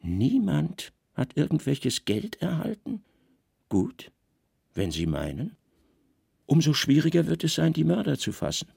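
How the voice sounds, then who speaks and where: A man acts out a stern Roman governor for a radio play. He is terse and imperious, with an animated, gravelly delivery that sounds troubled and impatient. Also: fairly high pitch, a little slow, dry recording, clean studio sound, heavily acted. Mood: curt, sceptical. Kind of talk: literary radio drama.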